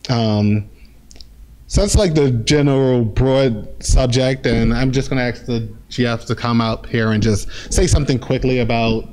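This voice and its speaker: A young man, a graduate fellow, addressing incoming students informally, with many halting filler sounds. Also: mid-range voice, fairly even intonation, a little mellow, rough recording. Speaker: graduate fellow leading a session.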